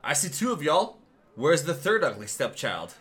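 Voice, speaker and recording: A man's voice: fairly high pitch, very animated, moderate pace, heavy background noise. A man playing a bossy, grumbling Old West gang leader in a comic audio drama. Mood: commanding. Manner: commanding, grumbling. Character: bossy, exasperated male outlaw gang leader.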